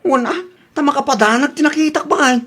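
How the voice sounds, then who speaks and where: An anxious, loyal husband from a radio comedy as a woman acts him, speaking very high for the part. He is earnest and quick to protest. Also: fairly bright tone. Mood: worried. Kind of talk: Ilocano radio comedy drama acting.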